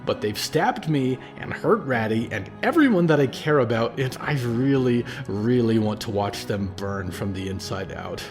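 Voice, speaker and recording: A man's voice: fairly low pitch, animated, a little slow, soft background music. A man voicing an angry, guilt-torn young man who burns when enraged. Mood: furious. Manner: strained, rising, outbursts.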